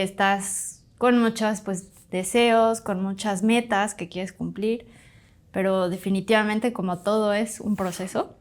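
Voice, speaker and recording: A woman in her thirties, originally from Bolivia, speaks as a doctor and podcast guest. Her delivery is chatty and animated, full of quick asides and fillers. Her voice sits at an ordinary middle pitch. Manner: chatty, animated, fond of "pues" and "literal". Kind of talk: casual interview storytelling.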